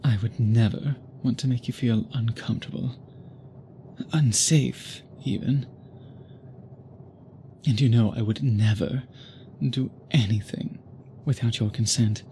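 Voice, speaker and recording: A man playing an obsessed, courtly gentleman vampire in an intimate audio roleplay, speaking formally and deliberately to the listener. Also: mid-range voice, steady tone, slight background noise, close to an everyday voice. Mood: earnest, careful. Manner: formal, flowery, measured speech with deliberate pauses. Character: obsessed, courtly gentleman vampire suitor, possessive yet restrained. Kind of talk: intimate scripted ASMR roleplay, addressed to listener.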